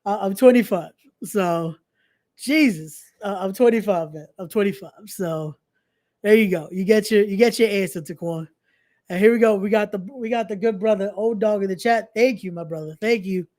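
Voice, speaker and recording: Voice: mid-range voice, moderate pace, darkish tone. A young adult podcast host chatting casually on a live stream, promoting events and often repeating phrases with informal, slangy asides.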